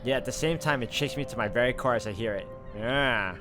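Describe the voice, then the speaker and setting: A man's voice: mid-range voice, fairly quick. A man playing and reading a horror visual novel aloud, mixing dramatic narration with casual asides as a let's player. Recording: loud music behind the voice.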